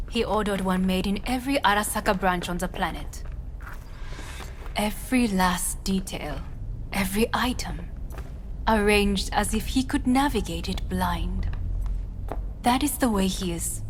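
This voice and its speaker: A woman playing a composed, imperious corporate heiress in a video game, speaking formally and with measured command. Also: medium pitch, a little hiss or hum, natural voice. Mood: composed, deliberate. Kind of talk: dramatic video game voice acting.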